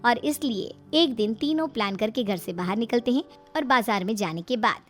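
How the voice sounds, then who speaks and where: A woman's voice: on the high side, lively intonation, moderate pace, faint music behind. A woman storyteller reading a scripted story narration.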